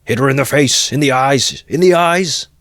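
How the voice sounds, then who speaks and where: A man voicing a brutal, drunken young male peasant who bellows and bullies in a raised, much higher voice than his own narration. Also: normal speed, no echo, clean studio sound, close to an everyday voice. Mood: drunken bellowing. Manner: loud bullying shouts.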